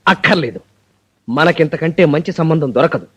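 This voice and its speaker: An actor playing a blunt, bossy groom scheming to marry a dying bride. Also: male voice, fairly high pitch, a little fast, fairly bright tone, husky.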